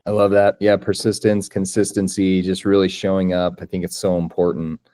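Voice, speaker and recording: A man's voice: low voice, little pitch movement, a little fast, dark tone. A man in his thirties hosting an interview podcast, friendly and enthusiastic, with many fillers. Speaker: podcast host.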